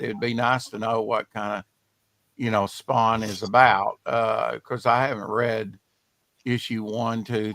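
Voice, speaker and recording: A male podcast host with a slightly raspy voice, chatting casually in a rambling way, full of fillers and trailing off. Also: mid-range voice, fairly bright tone, no echo. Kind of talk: casual chat.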